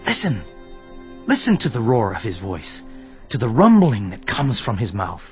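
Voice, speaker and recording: A man's voice: lowish voice, wildly swooping pitch, normal speed, lo-fi sound, soft background music. An actor playing an earnest, fervent man who declaims solemnly and emphatically about God's majesty in a dramatized scripture production. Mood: awestruck. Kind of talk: dramatized scripture reading, oratorical.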